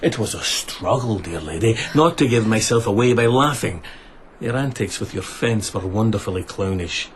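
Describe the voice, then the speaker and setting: A man's voice: fairly low pitch, animated, moderate pace, a little hiss or hum, lightly acted. An actor playing a menacing, mocking bandit swordsman in a radio drama, condescending and mock-courteous. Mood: mocking, suspicious.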